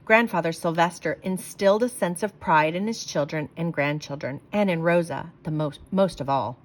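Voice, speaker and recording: A woman reading a children's book aloud in a clear, expressive storytelling manner. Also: fairly low pitch, normal speed.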